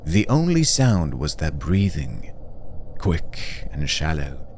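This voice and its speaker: A man's voice: rumbling low, very animated, slow pace, a little mellow, slight background noise. A man giving a scripted, dramatic narration of a story.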